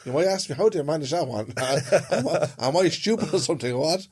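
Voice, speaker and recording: A man's voice: fairly high pitch, animated, quick pace, darkish tone, slightly rough. An Irishman co-hosting a podcast in casual conversation, telling things in a rambling way and repeating phrases for emphasis. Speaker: podcast co-host.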